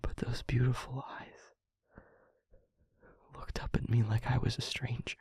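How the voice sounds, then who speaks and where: Man acting a breathy, whispering demon lover. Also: medium pitch, level tone, dry recording. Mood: regretful, tender. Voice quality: breathy, husky, often whispering. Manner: halting, hushed, dramatic pauses. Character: male demon lover, guilt-ridden, confessing, tender and anguished. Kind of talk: intimate audio roleplay monologue.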